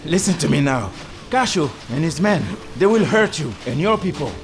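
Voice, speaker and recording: A man's voice: fairly high pitch, expressive, moderate pace, slight background noise. An actor playing a sly, scrappy older crewman in an audio drama, with a taunting, needling manner. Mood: wary, insistent. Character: older male sailor crewman, scrappy, sly, foul-mouthed.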